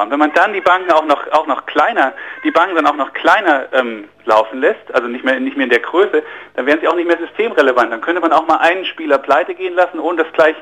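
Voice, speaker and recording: A man with a fairly high-pitched voice speaks as a radio interview guest, walking through money matters earnestly. He thinks aloud, stumbling over and repeating words before landing on his point. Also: a bit bright, low-quality recording. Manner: earnest, explanatory, often restarts and repeats words mid-sentence. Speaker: interview guest, finance journalist and author. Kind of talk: radio interview, explaining economics.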